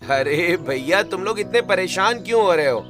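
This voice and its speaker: A man's voice: high-pitched, expressive, moderate pace, a bit bright, faint music behind. A man voicing a cheerful young farmer brother who teases his family in a cartoon story. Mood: optimistic.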